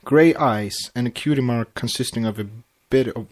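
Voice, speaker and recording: A man's voice: mid-range voice, moderate pace, a little mellow. A man co-hosting a podcast, chatting casually and reading fan fiction aloud. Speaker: podcast co-host and story reader.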